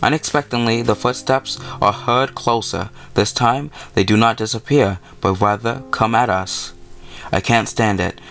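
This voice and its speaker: A man's voice: fairly low pitch, a little slow, vocal fry, a bit bright. A man hosting a let's play, reading a visual novel aloud with casual commentary and sometimes stumbling over his words.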